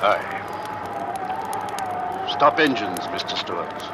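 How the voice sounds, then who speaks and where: An actor playing a gruff, commanding Arctic sea captain in an old radio drama. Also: male voice, sits low, expressive, takes it slowly. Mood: grim. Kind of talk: 1950s radio drama acting. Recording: noisy old radio recording.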